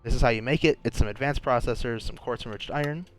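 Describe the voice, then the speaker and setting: A man's voice: mid-range voice, fast, faint music behind. Chatty young man with a sore throat. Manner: chatty, thinking aloud, trailing restarts. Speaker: gaming channel host. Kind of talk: casual gameplay commentary.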